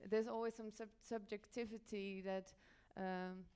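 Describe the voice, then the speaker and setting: A woman's voice: mid-range voice, flat delivery, unhurried, warm tone, clear, resonant tone. A woman from the Netherlands giving a conference presentation as a panel speaker, a little hesitant and stopping to reword as she goes. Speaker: panel speaker, journalism editor.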